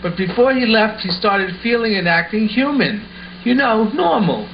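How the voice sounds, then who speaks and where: Man as an earnest, lively schoolboy puppet. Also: sits high, normal speed, gravelly, exaggerated voice. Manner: earnest, explaining. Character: Lance, earnest excitable schoolboy puppet spinning wild tales. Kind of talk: amateur puppet show acting. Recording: muffled audio with faint background noise.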